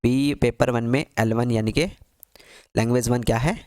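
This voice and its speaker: A man's voice: mid-range voice, steady tone, moderate pace, a little mellow, no echo, studio quality. A man tutoring for a teaching exam, walking through an answer key on screen, asking himself questions and answering them with constant repetition.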